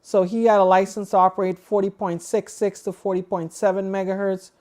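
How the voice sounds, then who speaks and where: A woman's voice: medium pitch, little pitch movement, brisk, darkish tone. Woman casually presenting hobby news. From outside the United States. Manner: conversational, explanatory, thinking aloud. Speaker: co-host of a ham radio livestream. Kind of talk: casual news commentary on a livestream.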